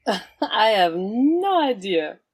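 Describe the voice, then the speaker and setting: A woman's voice: mid-range voice, very animated, very slow, husky, no room sound, full character voice. A woman playing a cool, wry swashbuckling vigilante with a sly, teasing, theatrical manner in an audio drama. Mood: wry, confident.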